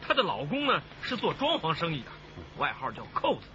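Boastful, folksy foreman, lively and highish. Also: fairly quick, heavily acted. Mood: proud, worldly. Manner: expansive, folksy, self-assured. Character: boastful, hearty migrant building foreman, doting father. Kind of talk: radio drama acting. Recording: dull, noisy radio drama soundtrack.

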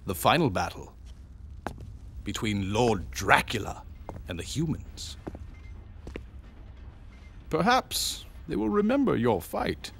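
Game role: mysterious theatrical gentleman, very unhurried, creaky voice, formal and musing. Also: mid-range voice, sing-song, a little hiss or hum, full character voice. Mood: wry, musing. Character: enigmatic, theatrical gentleman time traveler. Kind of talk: dramatic video game voice acting.

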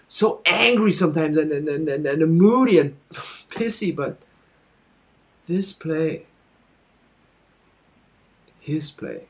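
A young man performing a dramatic monologue as an anxious, guilt-ridden student, speaking haltingly and repeating his words. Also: fairly high pitch, a little mellow, poor audio. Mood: frightened, hushed. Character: anxious, guilt-ridden student confiding about a troubled friend.

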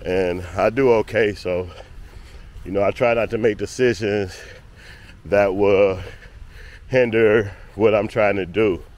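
A man's voice: on the low side, steady tone, slow, pauses often, a little mellow. A man vlogging casually on a walk outdoors in a park with his dog, rambling through his thoughts and calling to the dog now and then. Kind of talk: casual walk-and-talk vlog.

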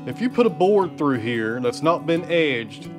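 A man's voice: fairly high pitch, big pitch swings, measured pace. A man in his thirties explaining woodworking machinery to camera in a workshop, speaking casually and addressing viewers as friends. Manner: plain-spoken, addresses viewers as friends and guys. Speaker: sawmill vlogger. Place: indoor wood workshop. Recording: guitar music playing underneath.

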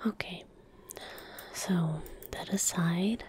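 A young woman speaking calmly and gently in a soft, breathy whisper, giving casual ASMR gameplay commentary close to the microphone. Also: on the low side, a little hiss or hum. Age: young adult. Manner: calm, gentle, unhurried. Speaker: ASMR gaming streamer. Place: small indoor room at a desk. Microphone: close desk microphone.

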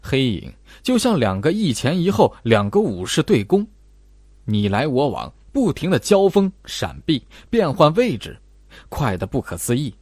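A man's voice: mid-range voice, huge pitch swings, unhurried, a little mellow, no room sound, studio quality. A man narrating a suspenseful horror novel as an audiobook, with dramatic storytelling delivery.

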